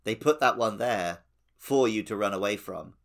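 Male podcast co-host, lowish creaky voice, informal conversational game talk. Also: moderate pace. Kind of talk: casual video game discussion.

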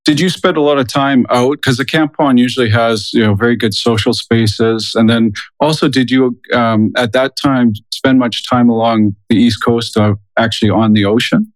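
A man's voice: medium pitch, steady tone, moderate pace, few pauses, no room sound, low-quality recording. A man hosting a podcast, introducing a guest and asking interview questions.

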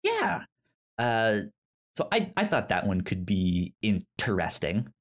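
A man co-hosts a laid-back podcast conversation, talking at an unhurried, slow pace. He stammers and restarts words often, leaning on fillers as he describes movies. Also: lowish voice, huge pitch swings. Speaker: podcast co-host. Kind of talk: casual chat.

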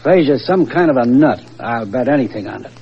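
An actor playing a shrewd, commanding police inspector in an old-time radio mystery, with clipped, authoritative delivery. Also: male voice, medium pitch, lively intonation, a little fast, gravelly, slightly rough, heavily acted. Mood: brisk, determined. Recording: muffled, noisy vintage radio recording.

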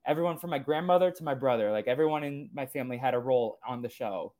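A man hosts a podcast interview, speaking in a casual, eager way. His talk is loose and full of filler words, and his voice sits at a middle pitch. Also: fast talker, a little mellow. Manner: casual, frequent "like" fillers, enthusiastic. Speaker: podcast host.

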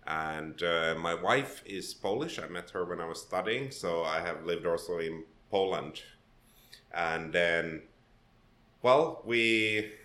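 Deep-voiced Finnish-raised man, echoey room. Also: fairly bright tone. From Finland. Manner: thoughtful, with frequent restarts and fillers. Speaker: podcast guest. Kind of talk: conversational interview.

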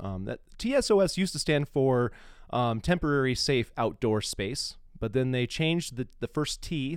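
A man's voice: mid-range voice, no room sound, clean studio sound. An American man hosting a podcast, giving a casual, emphatic and opinionated introduction. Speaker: podcast host.

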